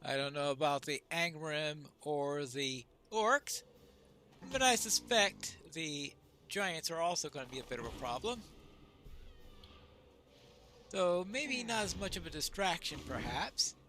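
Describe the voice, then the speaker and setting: A man's voice: on the high side, lively intonation, a little slow, bright, forceful tone. A man narrating his video game playthrough in a casual, wry, musing way. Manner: wry, musing, mock-polite.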